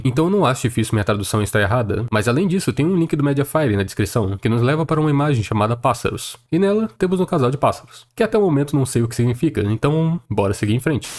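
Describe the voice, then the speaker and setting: A man's voice: medium pitch, a little mellow. A man with a Brazilian accent narrating a scripted explainer in a conversational, explanatory way. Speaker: video essay narrator.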